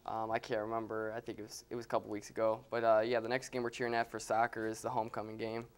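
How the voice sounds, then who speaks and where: A man's voice: lowish voice, completely flat delivery, very fast, clean tone. A young man talking as a guest in a casual interview, an easygoing, chatty storyteller. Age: young adult. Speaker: guest, college cheerleader.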